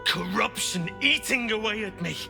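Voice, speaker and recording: A man playing an anguished, corrupted nature druid in a video game, speaking in a grave, tormented, halting way. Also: high-pitched, expressive, creaky, music in the background. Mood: anguished pleading. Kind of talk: dramatic video game character acting.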